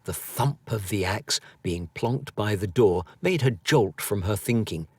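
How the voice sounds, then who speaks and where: Slow, expressive male storyteller. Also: on the low side, breathy edge, no echo, studio quality. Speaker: audiobook narrator. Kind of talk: scripted storybook narration.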